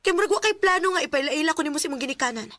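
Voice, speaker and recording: A young girlfriend in a radio drama, with a high voice and a Cebuano accent. She is plain-spoken and easily hurt. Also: lively intonation, racing, sharp tone, no echo. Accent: Filipino, Cebuano.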